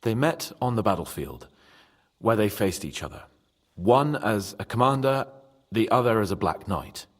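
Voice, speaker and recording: A man playing an impulsive, expressive young medieval nobleman in a game. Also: fairly low pitch, moderate pace, long reverb tail. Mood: earnest. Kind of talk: dramatic game cutscene acting.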